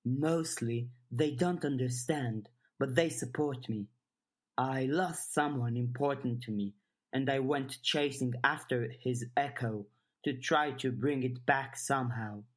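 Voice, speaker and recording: A woman's voice: extremely low pitch, measured pace. A woman voicing a guarded, aloof and weary woman in an audiobook, terse and reserved. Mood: weary, subdued. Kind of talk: audiobook character dialogue.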